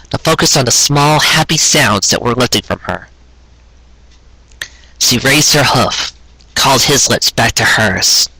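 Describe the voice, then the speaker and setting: A man giving an expressive, earnest reading in the manner of a storyteller, with dramatic phrasing. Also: mid-range voice, husky, no room sound, low-quality recording. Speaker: fan fiction reader and show host.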